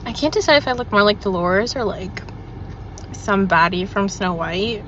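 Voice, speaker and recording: A young woman with a hoarse voice from a cold, chatting tiredly to her phone in a parked car after a gig. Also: medium pitch, expressive, fairly bright tone, muffled recording, slight background noise. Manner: chatty, tired, quick asides with small laughs. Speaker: vlogger and working actor. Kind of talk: casual vlog chat to camera. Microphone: phone held at arm's length.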